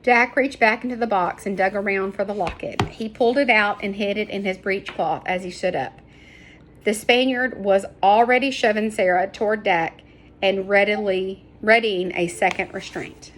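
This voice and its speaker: Lady reading a chapter book out loud, expressive, normal pitch, slightly noisy recording. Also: normal speed. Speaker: storybook reader. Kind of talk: scripted narration, children's book read aloud.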